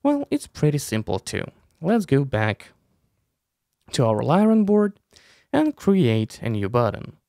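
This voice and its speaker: Guy in his 30s doing a software tutorial, gravelly voice, really animated pitch. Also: slow pace, no room sound, studio quality. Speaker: tutorial host. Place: small room indoors. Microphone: close desk mic with headset.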